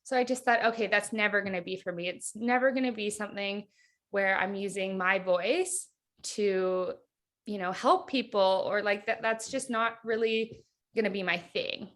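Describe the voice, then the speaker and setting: A woman's voice: medium pitch, fairly even intonation, moderate pace, a bit bright. Thirties female guest, casual and reflective, leans on "like" a lot. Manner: chatty, reflective, heavy use of "like". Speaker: hypnotherapist guest. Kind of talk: casual podcast interview.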